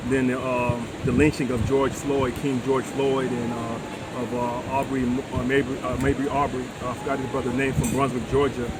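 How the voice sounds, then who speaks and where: A man's voice: mid-range voice, little pitch movement, normal speed. Middle-aged American male interview guest, mid-range voice, earnest and conversational, recorded outdoors with heavy background noise. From United States. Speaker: vlogger and retired military veteran, interview guest. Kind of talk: casual interview talk. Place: open-air beachside deck. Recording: loud wind and ambient outdoor noise.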